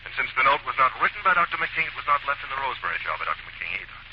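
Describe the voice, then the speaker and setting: Actor as a precise detective on muffled old radio. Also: male voice, medium pitch, sing-song, close to an everyday voice. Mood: assured deduction. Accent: American. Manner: deliberate, declamatory deduction. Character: confident male amateur detective, precise and pedantic. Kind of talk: 1940s radio mystery acting. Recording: muffled, very noisy vintage radio recording.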